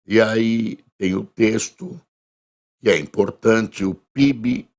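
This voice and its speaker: Brief: hoarse Brazilian male news commentator, deep gravelly voice, unhurried chatty delivery. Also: a little mellow. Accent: Brazilian Portuguese. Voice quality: gravelly, husky. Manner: conversational, reads headlines aloud slowly with emphasis. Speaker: news commentator reviewing front pages. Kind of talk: casual spoken press review.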